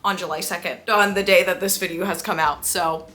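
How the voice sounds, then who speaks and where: A woman talking casually to viewers as a book vlogger, with a gushing, excitable and emphatic manner. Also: fairly low pitch, brisk, faint music behind.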